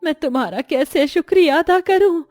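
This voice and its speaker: A woman voicing a poor, distressed old grandmother who sells onions, in a plaintive, drawn-out manner for a cartoon story. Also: extremely high pitch, a little mellow, dry recording, studio quality, faint music behind, voice pushed up. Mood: grateful, sorrowful.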